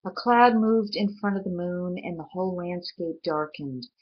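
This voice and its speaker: A woman reading a storybook aloud in an expressive, deliberate way, with dramatic pauses. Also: lowish voice. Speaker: reader. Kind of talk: storybook read aloud, expressive scripted narration. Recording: dull lo-fi recording.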